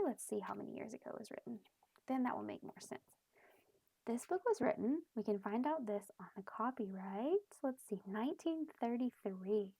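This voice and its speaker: Husky-voiced woman reading a children's storybook. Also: medium pitch, dry recording, low-quality recording. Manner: animated, explains things simply to children. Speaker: children's read-aloud host. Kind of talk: expressive storybook read-aloud with asides to young listeners.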